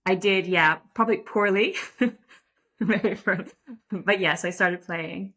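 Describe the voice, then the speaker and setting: A woman's voice: mid-range voice, measured pace, a bit bright. A woman from the Netherlands, a lacrosse umpire talking thoughtfully and modestly as an interview guest. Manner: thoughtful, self-deprecating, frequent restarts and fillers. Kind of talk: casual interview conversation.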